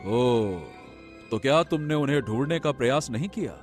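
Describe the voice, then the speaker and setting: A man playing an earnest young prince in a cartoon story, speaking theatrically with drawn-out pauses and emphatic repetition. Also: mid-range voice, expressive, soft background music, close to an everyday voice. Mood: curious, concerned. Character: young prince, earnest, brooding, grandly expressive. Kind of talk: Hindi animated moral story dialogue.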